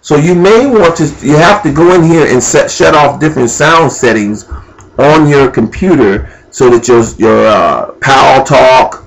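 A man with a medium-pitched voice presents an amateur computer tutorial. He talks informally and without a script, instructing step by step and thinking aloud as he works through the screens. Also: measured pace, vocal fry, low-quality recording. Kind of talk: unscripted screen-recorded software walkthrough. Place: indoors at a computer.

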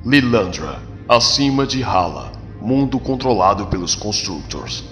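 A man narrating a comic story with dramatic, solemn, measured scripted delivery. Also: on the low side, big pitch swings, fairly bright tone. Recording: long reverb over music.

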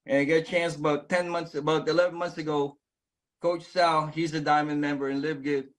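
A man around forty from the Philippines speaks into a close phone camera in a small indoor room. His voice sits a little high for a man, and he tells his story with eager, earnest energy, stumbling and restarting as he goes. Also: level tone, brisk. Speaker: network marketing member sharing his story. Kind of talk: personal testimonial to camera. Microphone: phone camera at close range.

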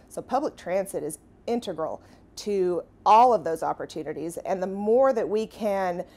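A woman's voice: mid-range voice, a little mellow. A woman in her thirties giving an earnest, emphatic testimonial to camera. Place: inside an empty streetcar.

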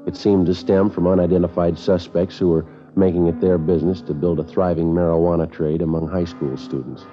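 An American actor playing a terse, deadpan male police sergeant in a 1950s radio drama, clipped and matter-of-fact. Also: deep voice, brisk, natural voice. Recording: dull vintage radio recording with music.

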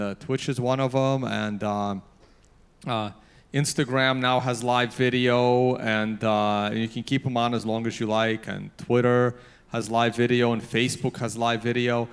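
A man's voice: mid-range voice, steady tone, clear, resonant tone. A man speaking as a YouTuber on a conference panel, hesitant with many fillers, into a handheld mic on a large hall stage. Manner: halting, many fillers and restarts. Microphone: handheld microphone.

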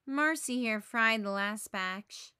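A woman's voice: on the high side, slow pace, sharp tone, clear, resonant tone. A woman voicing a bossy, brash tomboy girl in a children's storybook, easily exasperated. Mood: blunt. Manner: brash, emphatic.

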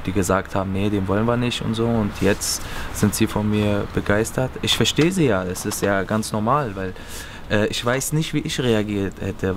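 Young guy from berlin, earnest, steady low voice, noisy outdoor stadium. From Berlin, Germany. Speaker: footballer. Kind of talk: interview answers. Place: empty stadium stands, outdoors.

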